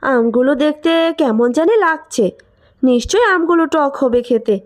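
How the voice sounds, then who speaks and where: A woman's voice: high-pitched, moderate pace, lightly acted. A woman voicing a greedy, scornful village sister-in-law in a cartoon moral story, brusque and scolding. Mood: mocking. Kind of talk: animated moral story acting.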